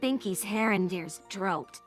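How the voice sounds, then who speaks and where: A woman's voice: mid-range voice, lively intonation, takes it slowly, faint music behind. A synthetic-sounding woman's voice reading scripted story narration.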